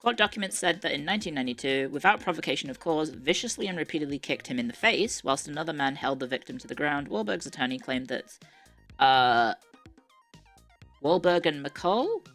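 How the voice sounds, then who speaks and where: A young woman from Ireland with a mixed Irish, English and Australian-tinged accent, chatting casually on a live stream, reading aloud with wry asides and quick self-corrections. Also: low-pitched, lively intonation, fairly bright tone, soft background music.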